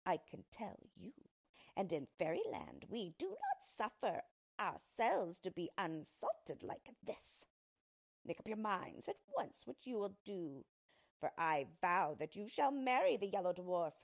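A woman's voice: mid-range voice, gravelly, exaggerated voice. A woman voicing an ancient, ugly desert fairy, imperious and threatening, drawing out her words in a storybook reading.

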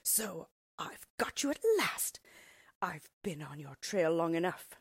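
A woman's voice: deep voice, lively intonation, a little slow, slightly rough, no room sound, clean studio sound, exaggerated voice. A woman reading aloud and voicing a stern, determined female hunter who mutters grimly. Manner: muttering, grim.

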